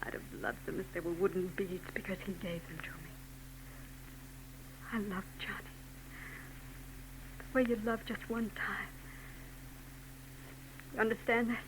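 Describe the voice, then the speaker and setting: An actress playing a troubled young married woman in a 1940s radio drama, soft and hesitant. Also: mid-range voice, steady tone, measured pace, long pauses, natural voice. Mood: wistful. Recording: old radio broadcast with hum.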